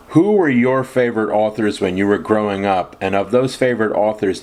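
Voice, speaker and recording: A male podcast host with a lowish voice asks his guest prepared questions. His pitch moves around a lot as he speaks, and between questions he gives short acknowledgments. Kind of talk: interview questions.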